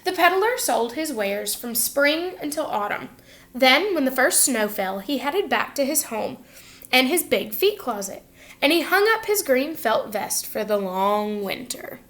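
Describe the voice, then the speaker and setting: A woman teacher reading a picture book aloud to students, expressive and instructive, pausing to put questions to her listeners. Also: sits high.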